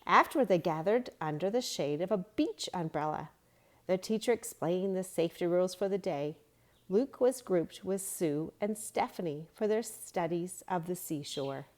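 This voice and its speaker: A woman's voice: fairly low pitch, expressive, moderate pace. A woman narrating a read-along storybook and giving yoga instruction in simple phrasing for young children.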